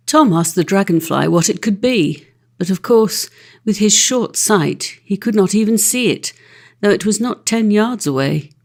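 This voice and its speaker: A woman's voice: mid-range voice, creaky. A woman reading a classic children's story as an audiobook narrator.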